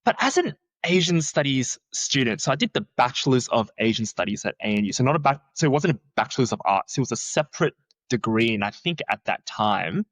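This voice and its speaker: A young man who is a researcher, talking in a chatty, animated way and filling his sentences with "like.". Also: a little fast, dry recording. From Australia. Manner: chatty, many "like" fillers, lively swings in pitch. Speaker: researcher, podcast guest. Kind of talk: casual conversational interview.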